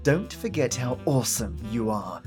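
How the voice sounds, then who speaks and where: A man's voice: medium pitch, big pitch swings, normal speed, a little mellow. A man hosting a podcast, playful and effusive, mixing chatty asides with scripted storytelling. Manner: playful, effusive, mildly profane. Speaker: podcast host. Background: music, plucked guitar.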